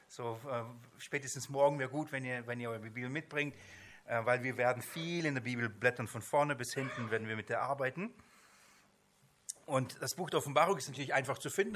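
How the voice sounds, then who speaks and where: Forceful, bright-voiced man teaching a Bible seminar. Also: mid-range voice. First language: not German. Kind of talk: informal Bible seminar lecture.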